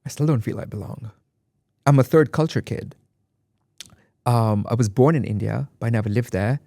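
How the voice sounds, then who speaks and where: A man's voice: medium pitch, normal speed, creaky, mellow, no echo, studio quality. A man from Dubai, guest on an interview podcast, speaking reflectively and with emphasis, often repeating phrases. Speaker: guest artist. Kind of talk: conversational interview.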